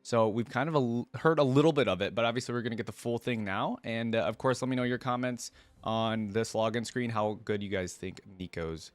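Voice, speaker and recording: A man's voice: medium pitch, fairly quick, no room sound. A man hosting a reaction video with casual, informal commentary, thinking aloud as he goes. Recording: music playing behind the voice. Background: game music.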